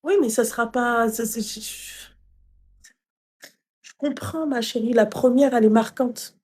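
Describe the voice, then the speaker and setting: A woman's voice: on the high side, steady tone, a little slow, mellow, breathy edge. A woman hosting a live call-in stream, giving affectionate, reassuring advice to callers. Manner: affectionate, reassuring, calls callers "my little heart". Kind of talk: casual live call-in chat.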